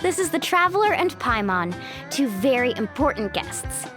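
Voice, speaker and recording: A woman's voice: sits high, very animated, normal speed, music in the background. A woman playing a cheerful, outgoing young guide in a video game, with a chatty, playful manner. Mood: upbeat, friendly. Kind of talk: English video game voice acting.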